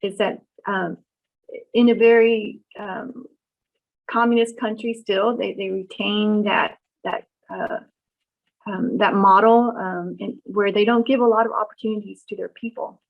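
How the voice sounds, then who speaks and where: A middle-aged woman born in Vietnam, answering questions in an oral history interview, pausing often with ums and restarts. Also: medium pitch, steady tone, gravelly. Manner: thoughtful, many ums and restarts. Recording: dull lo-fi recording.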